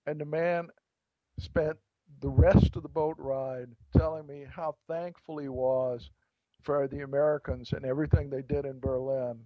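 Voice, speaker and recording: A man, an author, being interviewed, telling an anecdote in a reflective way with pauses and restarts. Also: medium pitch, little pitch movement, no room sound, lo-fi sound. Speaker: author guest. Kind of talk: interview storytelling.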